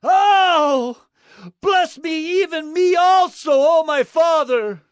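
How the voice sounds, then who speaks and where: A man's voice: very high-pitched, big pitch swings, unhurried, fairly bright tone, no echo, studio quality, voice pushed up, full character voice. A man voicing a wronged, bitter adult son with emotional pleading in a dramatised scripture reading. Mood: anguished pleading.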